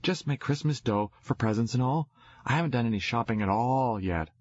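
A man's voice: medium pitch, expressive, a little fast, breathy edge, voice pushed up. A man voicing a stubborn, eager young girl in an audiobook, with plain, childlike casual phrasing. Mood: earnest. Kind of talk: audiobook character dialogue. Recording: dull, roomy, echoey recording.

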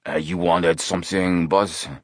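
A man acts out a brawny henchman in a storybook mystery. He sounds low, blunt and rough, always obedient to the boss. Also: little pitch movement, unhurried, noisy background. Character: muscular male henchman, obedient to his boss.

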